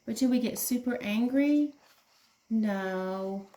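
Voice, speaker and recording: A woman's voice: a little high, slow pace, noisy background. A woman teacher reading aloud to young children, explaining things simply and asking them questions as she goes. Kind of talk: children's read-aloud lesson.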